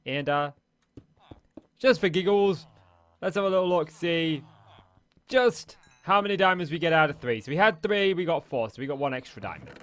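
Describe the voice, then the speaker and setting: A man hosting a let's play, chatting casually and narrating each move as he plays, his voice a little hoarse. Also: on the high side, animated, normal speed, pauses often, a bit bright. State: slightly hoarse, losing his voice. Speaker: Minecraft let's play host. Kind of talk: casual gameplay commentary.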